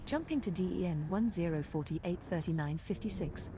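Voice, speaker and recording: A woman's voice: on the low side, expressive, brisk, heavy background noise, lightly acted. A woman voicing a composed spaceship computer co-pilot, giving precise, formal status announcements for a game. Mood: composed, informative. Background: music behind the voice.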